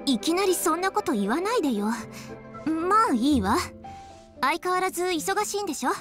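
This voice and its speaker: A woman's voice: very high voice, sing-song, normal speed, fairly bright tone, faint music behind, full character voice. A woman voicing a proper, reserved but easily flustered young woman in an anime game. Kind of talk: Japanese anime game voice acting.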